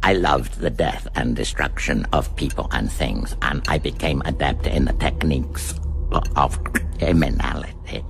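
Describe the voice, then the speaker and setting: An actor playing a cold, boastful, menacing criminal mastermind in a radio drama, with theatrical, deliberate delivery. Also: male voice, extremely low pitch, vocal fry, soft background music, heavily acted. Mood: proud, chilling. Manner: slow, deliberate, theatrical, grandiose.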